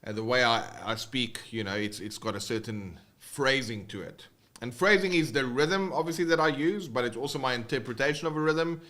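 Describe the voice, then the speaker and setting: A man's voice: medium pitch, brisk, pushed and bright. A man with a South African accent, teaching guitar in a casual, rambling livestream with many fillers and restarts.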